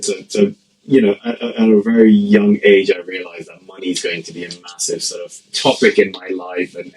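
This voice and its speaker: A British-accented man with a very deep voice and a rough, gravelly edge. He talks in a loose, chatty way, full of "you know" and "sort of". He is speaking as a guest on an office podcast. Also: rough recording. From born in India, raised in the UK. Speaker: podcast guest, venture fund founder. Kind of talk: casual interview conversation.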